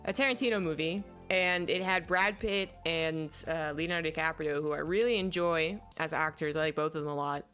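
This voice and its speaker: A woman's voice: sits low, a little fast, few pauses, fairly bright tone, faint music behind. A young adult woman casually reviewing films, rambling and stopping to search aloud for names. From native English speaker. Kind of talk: casual film review chat.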